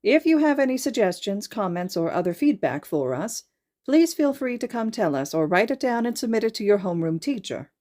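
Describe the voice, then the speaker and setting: A woman's voice: mid-range voice, sing-song, a little fast, mellow, pitched above the performer's own voice, natural voice. A woman voicing a courteous school principal in a story, making a formal, projected announcement to students. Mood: formal, upbeat. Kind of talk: audiobook character dialogue, announcement.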